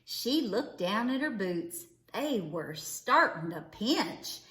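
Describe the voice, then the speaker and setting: Animated woman storyteller, slow and bright. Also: mid-range voice. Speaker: children's read-aloud storyteller. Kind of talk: expressive storybook narration. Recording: echoey, roomy sound.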